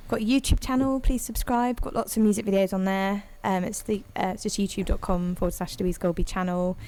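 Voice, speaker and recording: A woman's voice: mid-range voice, fairly even intonation, fairly quick, a little mellow. A singer-songwriter, a woman, chatting casually and rambling with many ums as a guest on a live audio room call. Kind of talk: casual chat after a live set.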